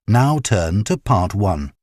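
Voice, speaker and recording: A man reading scripted test instructions as an exam announcer, formal and deliberate. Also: lowish voice, very animated, a little mellow, dry recording, studio quality.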